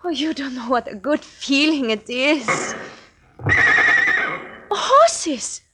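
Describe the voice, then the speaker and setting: An actress playing a young woman just rescued in an old radio drama, stammering in a breathy way. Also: high voice, sing-song, slow pace, a loud crowd, heavily acted. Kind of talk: 1940s radio acting. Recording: old radio recording with hiss.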